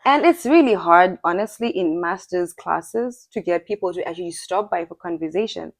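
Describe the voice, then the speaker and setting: A woman's voice: on the low side, moderate pace, no room sound. Chatty young woman from Zimbabwe. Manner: chatty, laughs often, animated storytelling. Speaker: podcast co-host. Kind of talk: casual chat.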